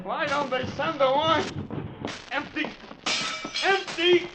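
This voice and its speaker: Shrill, petulant drunken husband. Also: male voice, slow pace, rough recording. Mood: raving. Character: petulant drunken wealthy husband, man, raving for wine. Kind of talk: 1950s radio drama acting. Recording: vintage radio recording, loud music.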